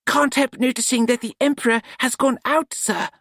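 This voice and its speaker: A man's voice: very high voice, steady tone, moderate pace, vocal fry, fairly bright tone, no echo, clean studio sound, pitched above the performer's own voice, heavily acted. A man voicing a meek, cheerful young servant in an audiobook, deferential and earnest.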